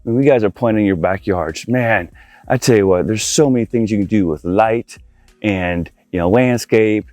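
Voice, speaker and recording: A man's voice: fairly low pitch, moderate pace, darkish tone, dry recording, soft background music. Enthusiastic man giving a casual walkthrough. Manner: enthusiastic, informal, addresses viewers as guys. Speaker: audio-video installer presenting a project tour. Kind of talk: casual walkthrough vlog to camera. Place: outdoors in a backyard by a pool.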